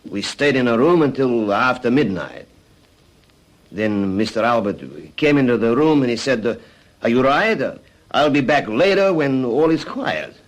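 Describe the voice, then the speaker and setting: A man acts out a cowed suspect in a classic radio crime drama. His voice is of middling pitch. He speaks in earnest, halting phrases, repeating himself as he eagerly gives up what he knows. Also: expressive, natural voice, poor audio. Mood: halting confession. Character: cowed, eager-to-confess male arson suspect, Italian immigrant.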